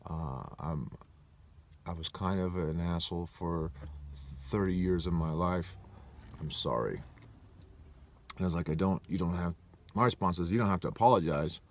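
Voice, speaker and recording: A man's voice: low-pitched, moderate pace, long pauses, a little hiss or hum. A man in his forties from Southern California, telling a personal story in a rambling, reflective way and often correcting himself. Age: 40s. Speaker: vlogger.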